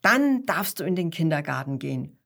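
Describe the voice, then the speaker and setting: A woman voicing a calm, reassuring father koala in a children's story, with a patient manner. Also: low-pitched, normal speed, creaky, pitched below the performer's own voice, full character voice.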